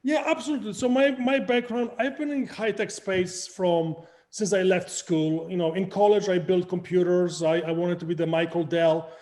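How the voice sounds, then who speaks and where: Polish-accented man, high and mellow. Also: normal speed, hardly any pauses. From Poland. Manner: energetic, explains with hand gestures. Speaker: crypto project founder, guest. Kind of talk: video call interview. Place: home room with framed art and plants. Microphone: video call, webcam.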